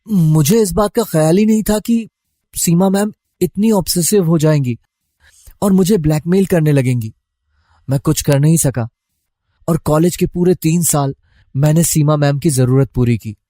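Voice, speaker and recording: A man's voice: a little high, mellow, no echo, clean studio sound. A young man narrating a scripted first-person story as a college student.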